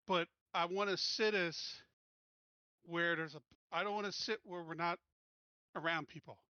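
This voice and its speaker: A man's voice: high voice, fairly even intonation, normal speed, pushed and bright, clean tone, no room sound, highs cut off. A man playing a tabletop roleplaying game, chatting casually and thinking plans through aloud.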